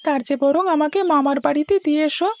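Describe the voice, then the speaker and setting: High-pitched, sulky little schoolboy. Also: female voice, fast talker. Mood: eager. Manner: pleading, petulant, childlike. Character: eager, sulky, chatty young schoolboy. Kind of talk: Bengali cartoon story dialogue. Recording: music behind the voice.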